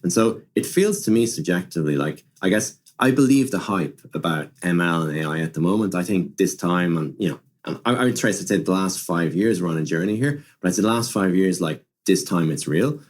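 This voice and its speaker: A man's voice: deep voice, animated, brisk, hardly any pauses. A man speaking as an expert podcast guest, explaining conversationally and often repeating and rephrasing with plenty of "like" and "you know". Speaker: podcast guest, machine learning director. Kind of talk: conversational product and technology discussion.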